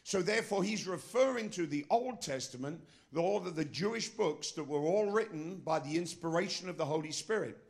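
A man's voice: a little high, animated, moderate pace, hardly any pauses. A middle-aged man preaching a sermon as pastor of his church, with a non-American accent, emphatic and asking the congregation questions. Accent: foreign, not American.

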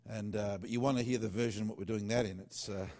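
A man with a fairly low voice talks about his music in a relaxed, unscripted way. He rambles, trails off and starts over, filling gaps with "uh". It sounds like a worship singer-songwriter chatting to listeners. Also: fast talker. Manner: loose and chatty, with many fillers and restarts. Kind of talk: casual rambling talk.

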